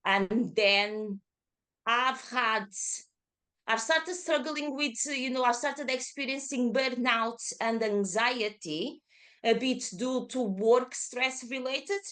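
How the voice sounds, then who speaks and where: A woman's voice: a little high, slow, a bit bright. A woman in her thirties with a Portuguese accent, a yoga teacher chatting in an interview, speaking with frequent fillers and self-corrections. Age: 30s. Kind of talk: casual interview chat.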